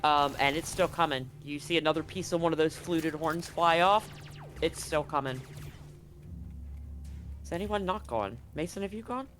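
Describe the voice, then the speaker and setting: Higher-pitched man running a tabletop game. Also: normal speed, long pauses, fairly bright tone, faint music behind. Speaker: game master.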